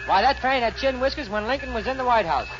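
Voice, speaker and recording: A man's voice: very high voice, expressive, fast talker, background noise. A man playing a cocky, wisecracking young cowboy with a dry, joking manner in a 1940s Western radio comedy. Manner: dry, joking, drawn to exaggeration. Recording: dull 1940s radio recording, music behind.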